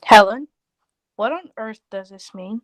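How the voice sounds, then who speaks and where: A woman's voice: on the high side, a little slow, no room sound, lightly acted. Woman playing a blunt, practical confidante, dry sound. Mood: incredulous. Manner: incredulous, matter-of-fact. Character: blunt, practical thirty-three-year-old woman, scolding confidante. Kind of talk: 1940s radio play acting.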